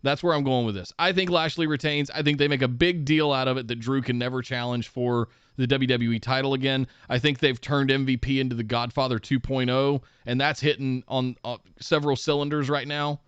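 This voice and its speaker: A man co-hosting a casual podcast, speaking in a measured, speculative way. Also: medium pitch, fairly even intonation, a bit bright, smooth, no echo. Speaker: podcast co-host. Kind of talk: casual wrestling podcast chat. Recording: muffled, dry remote podcast audio.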